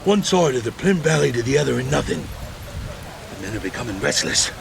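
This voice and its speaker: An actor playing an exasperated, resentful hunting party man in a period audio drama, gruff and gravelly. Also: medium pitch, sing-song, brisk, background noise. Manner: gruff, complaining.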